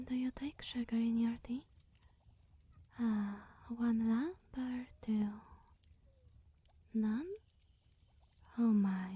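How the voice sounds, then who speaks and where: A woman voicing a sweet, bashful, scatterbrained young witch in a soft, partly whispered ASMR roleplay, coy and rambling with musing hums. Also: on the high side, no room sound, lo-fi sound. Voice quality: soft, breathy.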